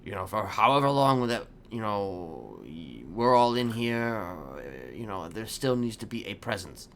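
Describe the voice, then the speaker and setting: A man playing a theatrical, hopeful prisoner in improvised game roleplay, rambling haltingly and repeating himself with sudden emphasis. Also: lowish voice, sing-song, creaky, fairly bright tone, exaggerated voice. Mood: rambling, earnest. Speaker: streamer playing a roleplay character. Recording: faint background noise.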